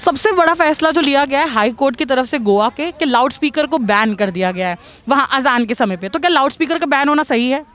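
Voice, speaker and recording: A woman's voice: sits high, animated, a little fast, hardly any pauses, fairly bright tone. A woman working as a street reporter, putting questions to passers-by outdoors. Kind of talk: vox pop interviewing with leading questions.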